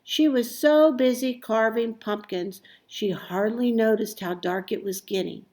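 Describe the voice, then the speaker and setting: Slow, expressive woman reading a storybook. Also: a little high. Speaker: storybook reader. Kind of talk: expressive read-aloud children's story narration.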